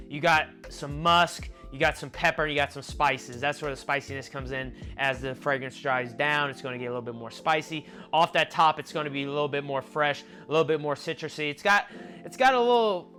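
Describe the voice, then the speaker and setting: A young man in his twenties with a stuffy, nasal voice, chatting casually through a fragrance review. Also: fairly high pitch. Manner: chatty, conversational, lists notes in a relaxed flow. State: nasal from allergies.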